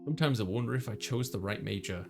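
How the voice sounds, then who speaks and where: A man's voice: lowish voice, fast talker. A man streaming a visual novel, reading the story aloud and chatting casually. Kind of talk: read-aloud story narration with casual chat. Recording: clear music behind the voice.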